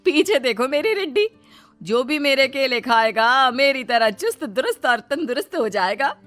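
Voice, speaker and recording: A woman playing a boastful, menacing middle-aged banana-seller witch in an animated horror folk tale, with drawn-out threats and cackles. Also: sits high, soft background music. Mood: boastful, coaxing.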